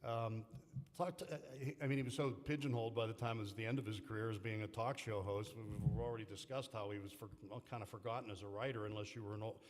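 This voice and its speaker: A man's voice: lowish voice, steady tone, fast. A man moderating a panel, asking long, rambling questions with restarts. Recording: hall reverb from a lecture room.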